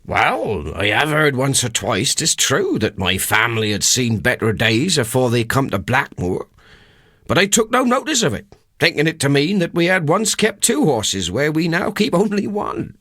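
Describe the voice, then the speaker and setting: A middle-aged West Country rustic, naive and puffed up with himself. His storybook dialect swings up high and all over, full of wonder and wheedling. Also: sing-song, moderate pace, a bit bright, no echo, clean studio sound. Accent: English West Country. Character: middle-aged rustic tippling haggler, naive and self-important.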